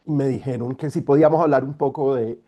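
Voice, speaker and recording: A middle-aged man speaks over a video call, congested from a cold. He lays out his points in a measured, explanatory manner, with a mellow, dark voice. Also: medium pitch, fairly even intonation. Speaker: guest speaker, environmental lawyer. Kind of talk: online talk.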